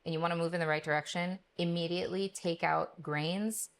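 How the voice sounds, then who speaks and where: Woman with a low, gravelly voice. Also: fairly even intonation. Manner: friendly, chatty, laughs often, quick agreeing. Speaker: guest nutrition therapist. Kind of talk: casual podcast conversation.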